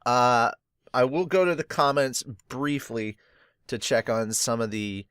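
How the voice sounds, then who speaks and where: A man's voice: medium pitch, measured pace, a bit bright, clean tone, no echo, clean studio sound. A young man hosting a podcast, chatting casually and rambling through a manga review, with many uh's and restarts. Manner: rambling, filler-heavy, chatty. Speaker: podcast host.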